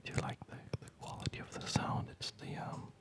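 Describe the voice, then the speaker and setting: A man whispers breathily and haltingly in an unhurried ASMR chat while playing a game, close to a studio microphone at home. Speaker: ASMR gamer. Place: quiet home at night. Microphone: close studio condenser microphone.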